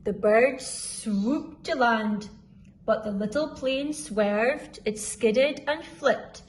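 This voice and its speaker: Slow, high-voiced woman reading a children's story. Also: slight background noise, poor audio. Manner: deliberate, dramatic with sound words. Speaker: school storyteller.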